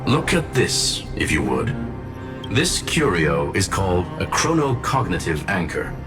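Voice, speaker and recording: A learned, composed game character with a lowish, very expressive voice. He explains danger in formal, exact phrasing while music plays loudly beneath him. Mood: formal, instructive. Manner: formal, precise, measured phrasing. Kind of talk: scripted game dialogue. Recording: prominent music under the voice. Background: loud background music.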